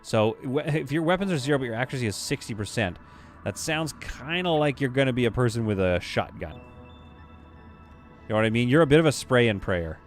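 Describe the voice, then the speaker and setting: A man's voice: medium pitch, faint music behind. A man streaming a game live, chatting animatedly with his viewers and laughing often. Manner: animated, chatty, quick to laugh, reads chat aloud.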